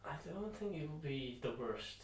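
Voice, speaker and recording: A man's voice: on the high side, animated, normal speed. A young man, a native Turkish speaker with a mostly Irish accent and slightly off V sounds, chatting casually as a podcast co-host. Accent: Turkish-tinged Irish. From Turkey. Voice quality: trouble pronouncing V. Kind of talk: casual chat. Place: indoor room with echo. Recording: roomy, echoey room.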